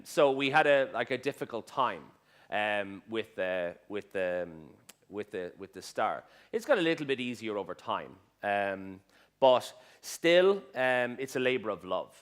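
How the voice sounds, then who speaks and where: A middle-aged man with an Irish accent speaks to a conference audience in a fairly low voice. He stops and restarts often and fills gaps with ums. His humour is dry. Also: a bit bright. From Ireland. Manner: hesitant, many fillers and restarts, dry humour. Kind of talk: conference talk with slides. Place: conference hall stage.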